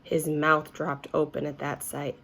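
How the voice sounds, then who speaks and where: A woman's voice: sits low, completely flat delivery, measured pace, gravelly, a bit bright. A woman reading a children's story aloud expressively, as if to young listeners. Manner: addresses young listeners with questions.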